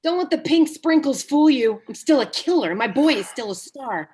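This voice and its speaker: A brash hustler of an agent played by a woman in a high voice. Cocky and wheedling, this man pushes hard for a client, in a comedy table read. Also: lively intonation, moderate pace, a little mellow. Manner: pushy, cocky.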